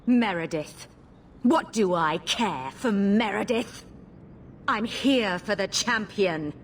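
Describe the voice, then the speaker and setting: A woman playing a vengeful, domineering female mage in a video game, speaking with cold, deliberate scorn. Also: mid-range voice, lively intonation, slight background noise. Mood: cold menace. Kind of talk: dramatic video game acting.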